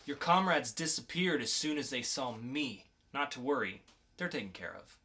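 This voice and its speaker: A man acting a calm, probing interrogator with a formal, measured delivery in a dramatic comic-book reading. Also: mid-range voice.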